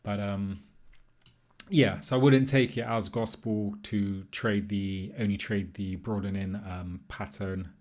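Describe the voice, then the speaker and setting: A man's voice: fairly low pitch, steady tone, takes it slowly, a little mellow. A man giving an informal, unscripted tutorial, thinking aloud in an easygoing, tentative way as he explains. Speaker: trading tutorial presenter.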